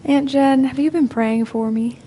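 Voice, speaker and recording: A young woman playing a sincere, eager niece, speaking earnestly and a little haltingly in natural dramatic dialogue. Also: high-pitched, fairly even intonation, warm tone, slight background noise. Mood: apologetic, hopeful.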